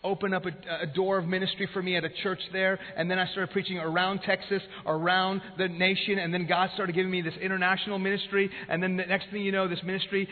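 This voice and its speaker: A man from Iran, whose first language is Farsi, preaching a sermon as a pastor, swinging from loud passion to hushed tones. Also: high-pitched, level tone, quick pace, few pauses. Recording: phone-like sound with long hall reverb.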